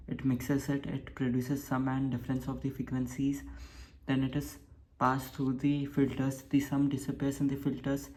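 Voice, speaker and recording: Very low, flat-voiced woman, noisy recording. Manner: repeats phrases, short plain statements. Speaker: hobbyist radio builder presenting. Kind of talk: informal technical demonstration. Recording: noisy home recording with steady hiss.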